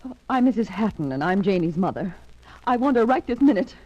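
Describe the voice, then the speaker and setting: A woman's voice: medium pitch, lively intonation, a little fast, dark tone, natural voice. An actress playing an anxious, insistent mother in 1940s radio style, with an earnest, urgent, dramatic delivery. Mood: demanding, frantic. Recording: dull vintage radio recording.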